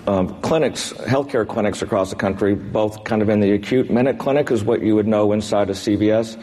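An American man in his sixties from Texas answering interview questions in a halting, measured way, as a business executive. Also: on the low side, mellow, long reverb tail. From Texas, United States. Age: 60s. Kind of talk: unscripted on-stage interview answers. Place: large indoor event room before an audience.

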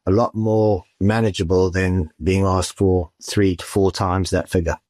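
Man, very deep voice, mortgage adviser, measured, explanatory, dry sound. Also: little pitch movement, a little mellow, dry recording. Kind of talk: expert interview answers.

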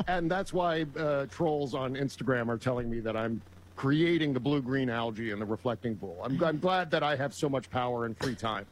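A man's voice: medium pitch, moderate pace, slight background noise, poor audio. A man talking politics as a guest on a talk show, emphatic and repeating words as he builds his points. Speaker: guest commentator. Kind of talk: casual political chat.

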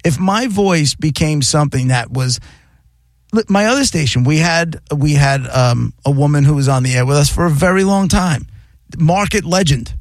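A man's voice: a little high, normal speed, dry recording. A middle-aged man co-hosting a radio talk show, arguing his point and talking over his co-host.

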